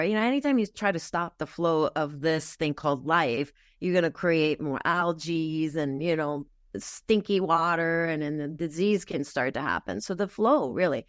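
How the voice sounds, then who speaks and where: A woman's voice: lowish voice, no room sound, clean studio sound. A middle-aged woman from Japan, a wellness practitioner, earnestly explaining ideas step by step with many fillers. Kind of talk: explanatory interview talk.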